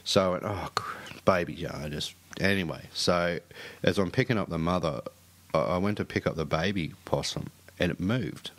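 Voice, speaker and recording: A middle-aged man with a low voice tells a story with plenty of stuttered restarts. He talks loosely and casually, the way a co-host chats on his own show. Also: normal speed, a bit bright.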